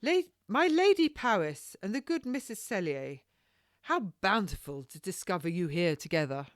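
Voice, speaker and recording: A woman's voice: mid-range voice, sing-song, normal speed, no room sound, studio quality, pitched above the performer's own voice, close to an everyday voice. A woman voicing a cocky, evasive male captain from a period storybook, with flowery formal speech. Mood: pompous, cocky.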